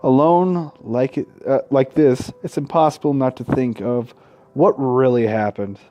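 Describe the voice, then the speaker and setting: A young man hosting a casual Let's Play, talking in a halting way and stumbling over his words. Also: mid-range voice, mellow. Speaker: gaming video host.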